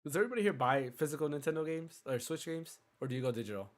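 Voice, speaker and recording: A man hosting a casual gaming podcast, chatty and rambling, a bit hoarse from a cold. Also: on the high side, lively intonation, rapid-fire. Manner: chatty, rambling, self-interrupting. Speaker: podcast host.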